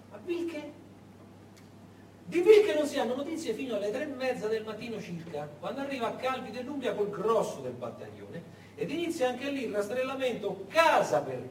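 A man narrating as a stage actor, in a dramatic, emphatic and suspenseful storytelling style. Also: very high voice. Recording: echoey hall, distant mic, clear background noise.

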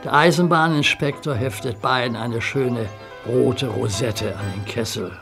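A man narrating a children's radio play in a measured storytelling manner. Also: medium pitch, gravelly, over music. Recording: noisy soundtrack recording.

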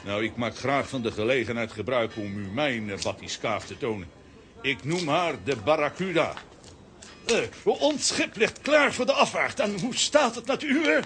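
An actor playing a courteous, formal rival sea captain in a children's radio play. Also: male voice, medium pitch, expressive, moderate pace, a bit bright, soft background music, natural voice.

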